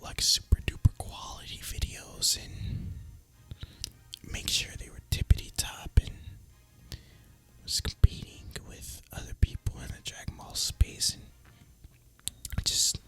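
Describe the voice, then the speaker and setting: Male ASMR gaming creator: breathy whisper throughout, crisp sibilant edge, relaxed and rambling delivery. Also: a little slow, soft background music. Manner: relaxed, rambling, casual and reflective. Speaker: video creator and gamer.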